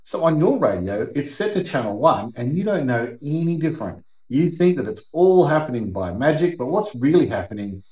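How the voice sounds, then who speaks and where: A man's voice: medium pitch, very animated, normal speed, hardly any pauses, darkish tone. Australian man explaining in an echoey room. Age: middle-aged. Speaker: YouTube host. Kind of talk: explainer to camera, conversational. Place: indoor workshop or garage. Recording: roomy, echoing indoor sound.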